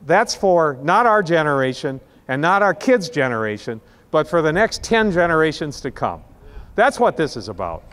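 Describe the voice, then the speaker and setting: A man speaks at a rally, his voice pitched a little high and carried by a long, trailing reverb. He is impassioned and emphatic, slowing down hard on the words he wants to land. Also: big pitch swings, fairly bright tone. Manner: impassioned, emphatic, slows down to stress key words. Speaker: rally speaker introducing the next speaker. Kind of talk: speech at an outdoor rally.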